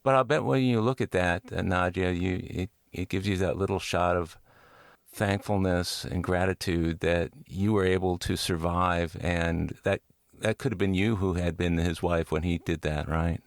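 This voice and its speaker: A man's voice: low voice, fairly even intonation, moderate pace, no room sound, clean studio sound. A man interviewing a guest conversationally, with an empathetic, reflective way of asking questions. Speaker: podcast interviewer.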